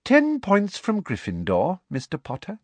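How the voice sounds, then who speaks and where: A man's voice: mid-range voice, wildly swooping pitch, slow, a bit bright, no room sound, pitched above the performer's own voice, full character voice. A man voicing a smug, sickly-sweet middle-aged female teacher from a storybook, with a honeyed trill and a precise, dismissive manner. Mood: stern, smug. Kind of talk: audiobook character voice.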